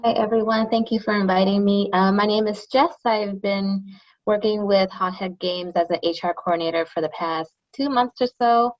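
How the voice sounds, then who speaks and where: A woman's voice: mid-range voice, fairly even intonation, normal speed, lo-fi sound. A woman introducing herself as an HR coordinator, polite and eager. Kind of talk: self-introduction on a video call. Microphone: video call.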